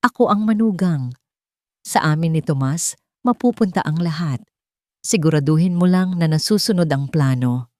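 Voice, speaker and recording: Casting: calculating young-wife villain, read by a woman narrator, soothing yet firm, dark warm tone. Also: sits low, lively intonation, normal speed, long pauses, dry recording, studio quality. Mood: firm, scheming. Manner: hushed, emphatic. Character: scheming, smooth-talking daughter-in-law, firm and soothing villainess.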